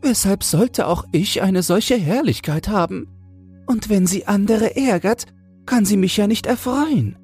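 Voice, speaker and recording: Tiny young toad, storybook, earnest, longing, slow, husky, lively intonation. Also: male voice, sits high, faint music behind, pitched above the performer's own voice, full character voice. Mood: humble longing. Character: smallest young toad, humble, wistful, full of longing.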